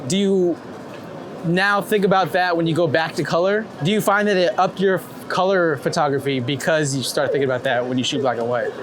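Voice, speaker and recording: Higher-voiced male interviewer on a noisy street. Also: fairly quick. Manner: relaxed, curious questioning. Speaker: interviewer and host. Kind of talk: casual walking interview. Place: city street outdoors. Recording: outdoor street noise. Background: traffic and street noise.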